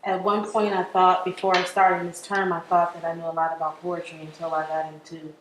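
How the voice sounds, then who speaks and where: A woman's voice: lowish voice, little pitch movement, fairly quick. A woman, a student in the audience, casually asking a question, picked up in a room with some noise. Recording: roomy, distant audience pickup, faint noise.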